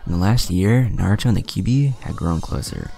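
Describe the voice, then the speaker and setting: Deep gravelly male story reader. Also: expressive, fairly quick, warm tone, soft background music. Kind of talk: fan fiction reading.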